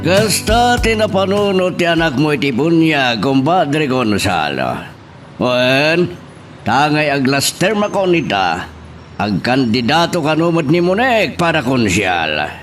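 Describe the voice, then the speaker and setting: A man's voice: on the high side, sing-song, unhurried, faint music behind. A man with an Ilocano accent playing a proud father in local politics in an audio drama. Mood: genial.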